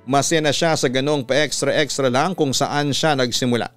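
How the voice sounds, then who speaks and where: A man with a Filipino accent, a radio announcer reading a scripted story in a measured, earnest way. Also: mid-range voice, steady tone, a bit bright. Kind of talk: scripted narration of a listener's letter. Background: music under the voice.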